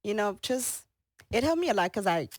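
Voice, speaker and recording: A woman's voice: mid-range voice, big pitch swings, normal speed, no echo. A young woman from Colombia answering interview questions in a friendly way, laughing easily. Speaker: guest, model and TV host.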